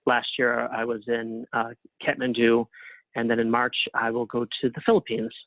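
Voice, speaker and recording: A man speaking as an interview guest in a casual, thoughtful, halting way over a tinny phone-like connection. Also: mid-range voice, flat delivery, no room sound. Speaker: interview guest, playwright and artist. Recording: narrow tinny phone-like line.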